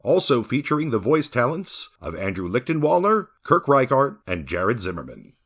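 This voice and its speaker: Husky guy announcer reading horror podcast credits, theatrical, really up and down. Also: mid-range voice, sing-song, moderate pace, a bit bright. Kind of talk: scripted closing credits read.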